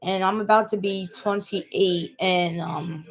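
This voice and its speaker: A woman's voice: fairly low pitch, steady tone, slow pace. A woman in her late twenties talking casually to the camera in a personal vlog, rambling, repeating herself and restarting her sentences. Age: 20s. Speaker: vlogger.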